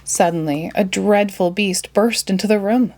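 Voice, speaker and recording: Expressive woman reading a storybook aloud. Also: medium pitch, normal speed, a little hiss or hum. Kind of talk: children's storybook read-aloud.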